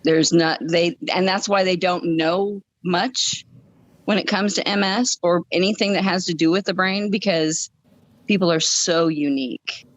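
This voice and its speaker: A woman's voice: on the low side, fairly even intonation, measured pace, no room sound. A woman in her fifties telling a personal story conversationally as a podcast guest, stressing points by repeating phrases. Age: 50s.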